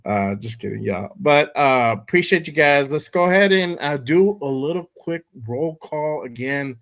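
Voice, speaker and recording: Man, hoarse from a cold, casual and energetic stream host with plenty of slang and shout-outs. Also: fairly high pitch, moderate pace. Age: adult with kids. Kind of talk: casual live-stream sports chat. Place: at home.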